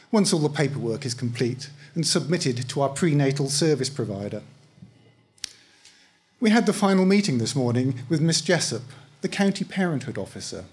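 A middle-aged man reads fiction aloud at a live event. His voice is slightly high for a man and rough-edged, and he tells the story conversationally, with a wry touch. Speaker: author reading own short story. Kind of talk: first-person story reading at a live event. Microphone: microphone on stand, close.

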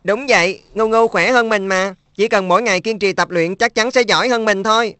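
A performer voicing a modest, hardworking schoolboy in a dubbed cartoon, speaking gently and reassuringly. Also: mid-range voice, brisk, no echo, close to an everyday voice. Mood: modest calm. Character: modest diligent schoolboy, top of class. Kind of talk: cartoon dubbing.

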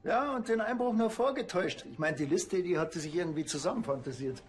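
An actor playing a dry, skeptical police officer who speaks colloquially with a Bavarian accent. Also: male voice, high voice, lively intonation, fairly quick, soft background music. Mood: puzzled.

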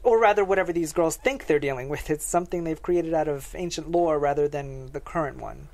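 A woman plays a sceptical psychologist with a haunted streak in a dramatic table read. The voice sits fairly low, and the delivery is measured, hesitant and matter-of-fact. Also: a little mellow. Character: sceptical, haunted academic psychologist called Doctor Flynn. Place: small podcast studio. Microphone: headset.

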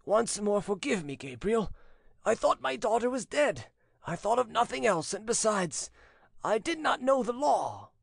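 A man's voice: high-pitched, sing-song, measured pace, vocal fry, breathy edge, dry recording, studio quality, voice pushed up, full character voice. A man voicing an anguished, tender old fisherman in his eighties in a dramatic audiobook, pleading and mournful. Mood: tearful, remorseful. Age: 80s. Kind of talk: dramatic audiobook character reading.

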